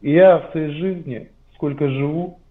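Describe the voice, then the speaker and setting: Man, forties, insistent, higher voice, tinny phone-like call audio. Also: measured pace. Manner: insistent, explaining. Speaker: video chat guest. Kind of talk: casual debate. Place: small room indoors. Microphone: headset.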